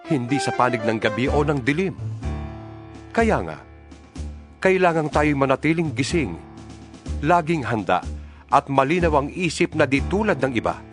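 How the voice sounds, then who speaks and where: Dramatized scripture: exhorting apostle, solemn and deliberate yet highly expressive in pitch, music underneath. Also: male voice. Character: apostle writing earnest, exhorting letters to fellow believers. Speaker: narrator of a dramatized Bible.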